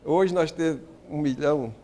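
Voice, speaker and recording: Brazilian male TV host, fairly high voice, halting questions full of restarts. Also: warm tone. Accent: Brazilian Portuguese. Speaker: TV talk show host. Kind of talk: TV studio interview.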